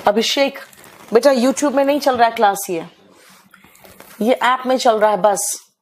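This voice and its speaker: A woman teaching English in a live online class, hoarse for days, clearing her throat and calling her students "beta" in a motherly, coaxing way. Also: on the high side, unhurried, a little hiss or hum.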